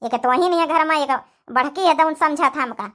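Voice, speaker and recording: A woman's voice: high-pitched, fairly quick, fairly bright tone. A woman voicing an aggrieved, weary old village mother-in-law in a comedy cartoon, plaintive, with an Awadhi accent. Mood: resigned. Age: older. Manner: complaining, plaintive. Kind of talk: comedy cartoon family drama.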